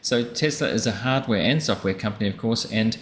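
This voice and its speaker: A man's voice: on the low side, fairly quick. A man hosting a reaction show, giving casual, conversational commentary and repeating key points. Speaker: host reacting to earnings call clips. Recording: echoey room, clear background noise.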